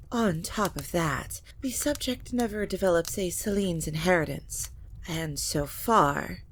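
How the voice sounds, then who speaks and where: An ancient vampire matriarch, played by a woman in an audio drama, with a fairly low voice. She speaks formally and gravely, measuring each phrase like a scholarly schemer. There is clear noise behind her. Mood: grave, resolute. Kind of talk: scripted audio drama acting.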